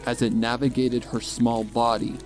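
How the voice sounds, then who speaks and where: A man reading a dark horror story as a dramatic scripted narration. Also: medium pitch, level tone, moderate pace. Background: music.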